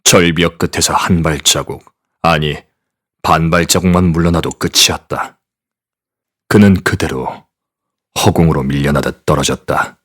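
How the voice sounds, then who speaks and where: An actor playing a grim, determined paranormal agent, narrating gravely and deliberately in an audio drama. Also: male voice, rumbling low, steady tone, a bit bright, heavily acted. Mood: grave, tense. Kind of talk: dramatic first-person scripted narration.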